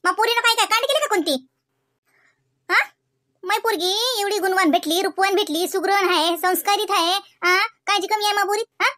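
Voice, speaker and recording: A woman's voice: extremely high pitch, fairly quick, sharp tone, dry recording. A woman playing a dramatic, protective middle-aged village mother-in-law in a comedy cartoon, exclaiming indignantly in a Varhadi Marathi accent. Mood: indignant, boastful. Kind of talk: amateur comedy cartoon dialogue.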